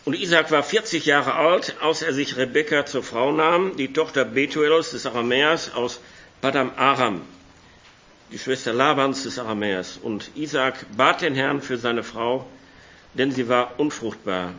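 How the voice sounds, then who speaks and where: Hoarse male preacher, bright voice. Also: medium pitch, moderate pace, highs cut off. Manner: conversational, reads scripture aloud. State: hoarse from a cold. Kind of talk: sermon with Bible reading. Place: church hall.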